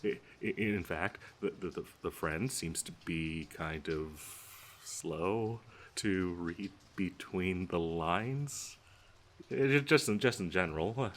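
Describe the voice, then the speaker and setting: A male actor as a lovesick, obsessive young man in an intimate audio roleplay. His voice sits low and moves slowly, tripping over itself with stammers and nervous giggles as he addresses his best friend. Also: a little hiss or hum. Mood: awkward, hinting.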